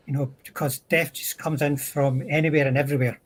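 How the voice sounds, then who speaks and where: A man with a husky voice chatting casually as a guest on a hobby livestream. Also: a little high, level tone, a little fast, slight background noise.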